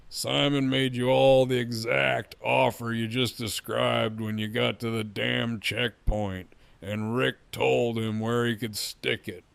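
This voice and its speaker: Man acting as menacing gang boss, heavy gravel and fry, slow smug drawl, mocking, lewd, audio drama villain. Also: medium pitch, no echo. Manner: taunting, drawling, crude, smug. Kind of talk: full-cast fan audio drama acting.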